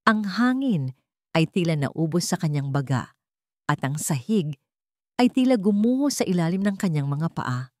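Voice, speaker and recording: A woman narrating a scripted audio drama in a measured, suspenseful storytelling manner. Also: on the low side, sing-song, no room sound, clean studio sound.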